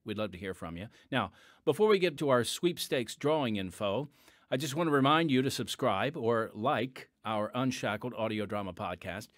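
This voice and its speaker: Male host, friendly and chatty, animated delivery, dry studio sound. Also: mid-range voice, no echo. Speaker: podcast host. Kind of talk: podcast host segment and announcements.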